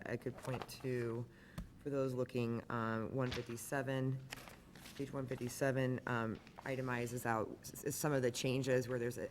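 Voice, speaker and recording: A man serving as an alderman, raspy-voiced, asking budget questions in a halting, methodical way at a council meeting. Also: medium pitch, a little hiss or hum. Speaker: city alderman. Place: council chamber.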